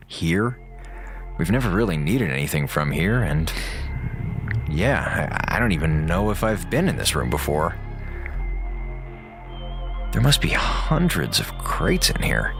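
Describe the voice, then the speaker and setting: An actor playing a wry, chatty slacker communications officer keeping an audio log in a space station radio drama, with melodramatic sarcasm. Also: male voice, low voice, a bit bright, full character voice. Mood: casual, wry. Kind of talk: radio drama acting as a conversational monologue.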